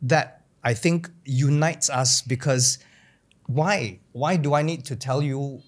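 A middle-aged man with a Singaporean English accent, hosting a casual panel discussion, quick to jump in, on a studio mic. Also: medium pitch. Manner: animated, quick interjections. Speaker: host. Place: studio set with sofas. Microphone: boom-arm studio mic.

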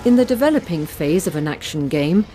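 Female news narrator, low and mellow, steady scripted read, soft music under. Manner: measured, even reading.

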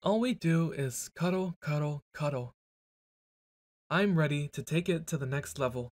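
A man's voice: a little high, a little slow, a little mellow, no room sound. A young man performing a boyfriend ASMR roleplay, brash and teasing, stumbling into flustered stammers.

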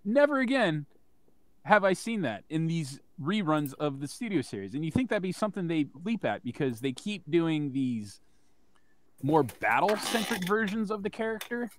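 A man co-hosting a casual podcast, rambling through long animated stories with some swearing. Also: fairly high pitch, moderate pace, no room sound. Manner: rambling, animated storyteller, profane. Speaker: podcast co-host.

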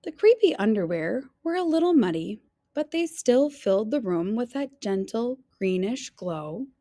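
A woman reading a children's picture book aloud in an expressive style, stretching key phrases for drama. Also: on the high side, unhurried, no room sound, clean studio sound.

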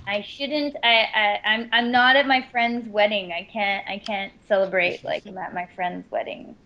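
A woman's voice: medium pitch, steady tone, normal speed, a little hiss or hum. A woman from Canada, a voice actress guest, chatting casually in an interview with a rambling, self-deprecating manner over an online call. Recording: muffled lo-fi call audio.

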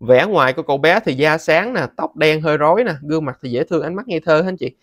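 Tutorial host: man, thirties, Southern Vietnamese accent, slightly high voice, dry close sound, conversational step-by-step delivery. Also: lively intonation, fast talker, fairly bright tone, no echo. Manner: conversational, frequent sentence-ending particles addressing viewers. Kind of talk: casual step-by-step screen tutorial.

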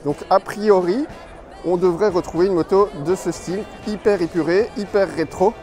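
Guy doing chatty event commentary, talks right to viewer, voice a bit high, music behind. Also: normal speed, a little mellow, some chatter behind. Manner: chatty, addresses the viewer directly. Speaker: motorcycle magazine video presenter. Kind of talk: casual event report commentary.

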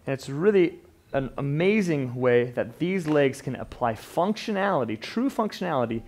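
A man in his thirties giving an unscripted, enthusiastic walkthrough of gym equipment as a fitness educator, standing in an indoor gym studio and talking to camera with emphatic stresses and quick restarts. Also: a little high, animated, a little mellow. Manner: enthusiastic, emphatic, repeats words when restarting.

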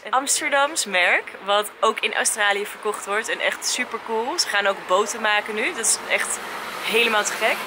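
A woman's voice: mid-range voice, noisy background. A woman, chatting casually to a handheld camera in an upbeat, enthusiastic way. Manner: upbeat and enthusiastic, gushing superlatives. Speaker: travel and lifestyle vlogger. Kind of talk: casual vlog chat to camera. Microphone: camera held at arm's length.

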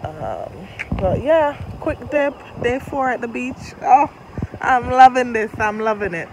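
A woman's voice: a little high, sing-song, unhurried, poor audio. A young woman chatting casually to her phone camera in a travel vlog, upbeat and talking to her viewers. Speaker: vlogger. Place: outdoors by a roadside and beach. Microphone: phone held at arm's length. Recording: loud wind and outdoor noise.

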